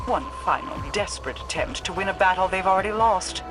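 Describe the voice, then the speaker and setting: An actor playing a formal, stern commander who gives orders in measured speech. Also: male voice, sits high, animated, music in the background. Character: commanding, formal male ally giving orders.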